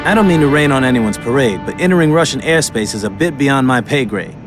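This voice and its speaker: Man as mid-pitched, cautious aircrew member. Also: lively intonation, fast, loud music behind the voice, close to an everyday voice. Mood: cautious, alert. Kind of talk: video game voice acting.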